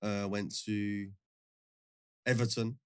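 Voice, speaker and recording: A young man talks in a slangy, chatty way, his voice sitting low. He laughs often as he tells his stories. The sound is dry and close, with no room echo. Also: level tone, takes it slowly, darkish tone, smooth. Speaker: guest, former footballer. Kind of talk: casual podcast storytelling.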